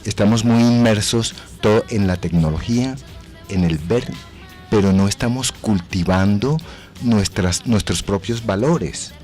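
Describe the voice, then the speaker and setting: A man's voice: fairly low pitch, unhurried, a little mellow. An older man speaking as a guest actor in a radio interview, explaining things didactically and often checking for agreement. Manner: didactic, explanatory, seeks agreement with tag questions. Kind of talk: thoughtful radio interview talk. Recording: music behind the talk. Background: music.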